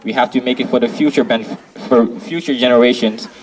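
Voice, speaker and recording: A young man answers quietly in an interview, halting and correcting himself as he goes. His pitch is mid-range and ordinary. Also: steady tone, a little hiss or hum, low-quality recording.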